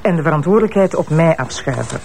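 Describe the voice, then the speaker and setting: Brisk Flemish woman prosecutor, animated. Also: low voice, vocal fry, slight background noise. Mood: tart, cynical. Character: brisk, cynical female deputy prosecutor. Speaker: deputy prosecutor. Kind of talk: naturalistic Flemish radio drama acting.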